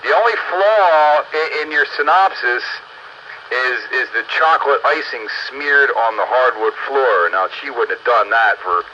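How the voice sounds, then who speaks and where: A man's voice: mid-range voice, measured pace, pushed and bright. An older man chatting casually with friends as a radio hobbyist, plain-spoken and bantering, over radio audio. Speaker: amateur radio operator. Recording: muffled two-way radio audio, faint noise.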